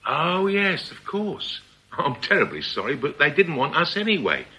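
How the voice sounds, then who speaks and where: A muddled, mild-mannered male crook in a comic radio play. His bright, lightly high voice swoops about as he dithers and apologises with great courtesy. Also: wildly swooping pitch, moderate pace, exaggerated voice, rough recording. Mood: apologetic. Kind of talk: comic radio drama acting.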